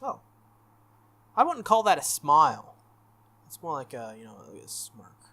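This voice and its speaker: A gaming video host reading a visual novel aloud in an informal, expressive way and breaking off for casual asides. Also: mid-range voice, a little slow. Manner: informal, expressive reader who breaks off to comment. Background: background music.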